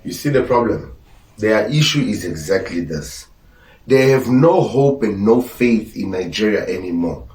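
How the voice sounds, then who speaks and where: A man's voice: lowish voice, lively intonation, unhurried, darkish tone. Blunt podcast host, male, Portuguese first language, preachy delivery, distant mic in an echoey room. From Angola. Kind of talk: opinionated commentary.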